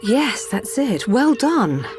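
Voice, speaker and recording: An actress playing a calm, caring engineer who speaks reassuringly in a video game. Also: female voice, fairly high pitch, slow. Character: calm, caring female machine-race engineer. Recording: game music behind dialogue.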